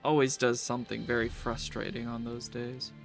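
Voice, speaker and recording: A man's voice: mid-range voice, monotone, normal speed, vocal fry. A man acting as game master, casually narrating a tabletop game and answering players' questions. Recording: music behind the voice. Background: background music.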